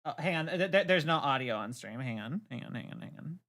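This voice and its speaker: Casting: female streamer with a low, forceful voice, casual, stammering and sweary. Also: expressive, a little fast. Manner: stammering repetition, freely swearing. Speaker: livestream host. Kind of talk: casual chat.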